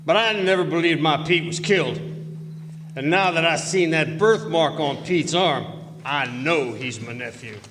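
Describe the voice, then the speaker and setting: A man's voice: a little high, measured pace, a bit bright, a little hiss or hum. A man acting a folksy, emphatic old rancher in a western radio drama. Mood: hearty. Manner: plainspoken, emphatic western drawl. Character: folksy, hearty old male rancher uncle. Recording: reverberant hall.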